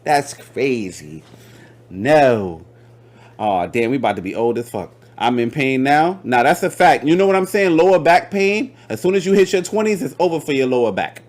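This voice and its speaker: A man's voice: medium pitch, expressive, normal speed. Joking young man hosting a chat. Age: late 20s. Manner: slangy, joking, talks over guest. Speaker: host. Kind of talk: casual interview.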